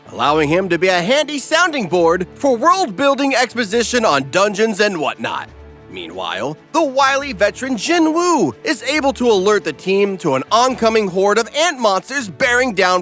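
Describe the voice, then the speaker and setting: A male narrator delivers a comic scripted recap in a snarky, exuberant manner. His voice is high and bright and leaps around energetically, with faint music underneath.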